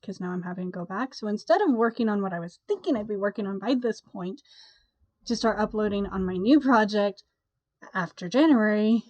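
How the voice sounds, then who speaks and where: A woman's voice: mid-range voice, brisk, a little mellow, dry recording, muffled recording. Stuffy-voiced young woman chatting casually. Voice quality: nasal, stuffy. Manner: chatty, rambling, with sighs and laughs. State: congested from a cold. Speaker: vlogger. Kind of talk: casual chat to camera.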